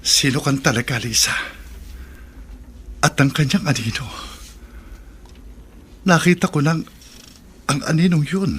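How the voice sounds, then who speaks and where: A man's voice: a little high, lively intonation, unhurried, pauses often, a bit bright, a little hiss or hum. A man acting an agitated, insistent character with an urgent, dramatic delivery in a Filipino horror radio drama. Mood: puzzled. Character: agitated, insistent young man haunted by a cobra woman.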